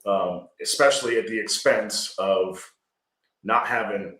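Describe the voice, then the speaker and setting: A man's voice: on the low side, a little slow, pushed and bright, reverberant, poor audio. A man, a business owner guest talking casually and earnestly, often checking in with "right?". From Georgia. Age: 40s. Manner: earnest, rambling, frequent "right?". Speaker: landscaping company owner, guest. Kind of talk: casual podcast conversation.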